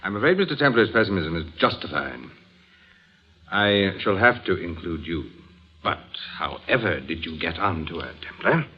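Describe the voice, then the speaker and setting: A suave, menacing villain. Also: male voice, low voice, sing-song, moderate pace, full character voice. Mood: cool menace. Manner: smooth, condescending, coolly menacing. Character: suave scheming husband, villain with a gun. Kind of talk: 1950s radio drama acting. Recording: muffled 1950s radio broadcast.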